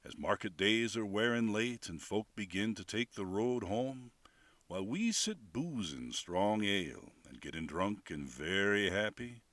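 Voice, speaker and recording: An older man with a rich, admired voice, reciting a poem as a guest reader in an expressive, lilting way. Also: on the low side, unhurried, no room sound. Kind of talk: dramatic poetry reading. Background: faint bagpipe and whistle music.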